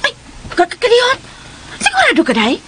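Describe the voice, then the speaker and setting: A woman playing a silly, slow-witted country housemaid in a comic radio drama, with exaggerated exclamations and cackles. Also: very high voice, huge pitch swings, heavily acted. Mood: excitable, comic. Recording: very noisy radio drama recording.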